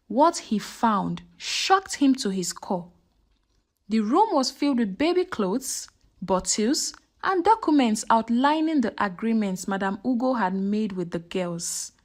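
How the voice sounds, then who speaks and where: A woman narrating a scripted folktale in a dramatic storytelling style. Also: fairly high pitch.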